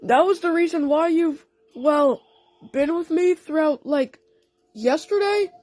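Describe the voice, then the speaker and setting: A woman voicing an astonished, bashful male cartoon cat in an audio play, speaking haltingly. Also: sits high, a little mellow, no room sound.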